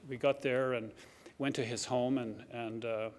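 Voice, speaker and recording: A man's voice: medium pitch, steady tone, unhurried. A middle-aged man with an Irish accent giving a heartfelt acceptance speech, earnest and reflective, with frequent restarts. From Ireland. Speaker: award recipient giving a speech. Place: banquet hall at a lectern.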